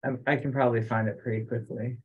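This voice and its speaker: A man talking casually as an organizer on an online group call, halting and repeating himself as he goes. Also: medium pitch, level tone, breathy edge, phone-quality sound. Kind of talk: casual meeting chat. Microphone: online conference call.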